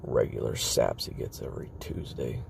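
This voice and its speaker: Man acting a very deep, gravelly weary ex-assassin. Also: animated, noisy background, full character voice. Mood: bitter, weary. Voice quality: very gravelly, fry-laden, breathy murmur. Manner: halting, hesitant, self-doubting, trailing pauses. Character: weary guarded former assassin recording confessional tapes. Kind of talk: confessional audio roleplay monologue.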